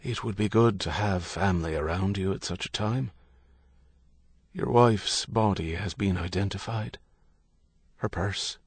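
A man voicing a priest who is gentle and folksy, yet prying and faintly accusatory. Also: sits low, unhurried, long pauses, slightly rough, no room sound, clean studio sound, exaggerated voice. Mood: grave, consoling. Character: Irish priest, solicitous yet prying and blunt.

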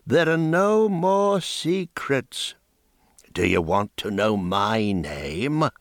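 A man's voice: big pitch swings, dry recording, clean studio sound, full character voice. A man reading an audiobook, voicing a broken, haunted old woman dyer in a strained, raised voice. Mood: dignified. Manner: slow, strained, rising high pitch. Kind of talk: audiobook character voice.

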